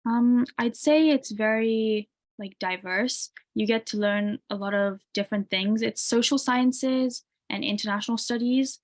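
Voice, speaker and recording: A woman's voice: fairly high pitch, measured pace. Young woman student, chatty with fillers. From UK, grew up in Tanzania. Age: 20s. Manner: relaxed, many "like" and "um" fillers. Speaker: university student guest. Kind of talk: casual interview answers.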